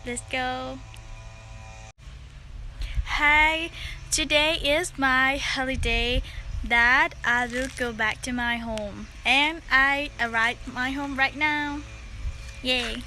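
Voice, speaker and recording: A woman who is not a native English speaker, talking casually to camera as a vlogger in simple, halting phrases. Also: high voice, fairly even intonation, pushed and bright, soft background music. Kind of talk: casual vlog talk to camera.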